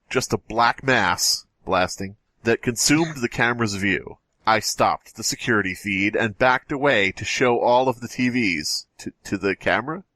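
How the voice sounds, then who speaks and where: A man's voice: medium pitch, bright, forceful tone, no room sound. A man hosting a podcast, reading a horror story aloud and breaking off with joking asides. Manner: jokey, breaks off to comment. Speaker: podcast host.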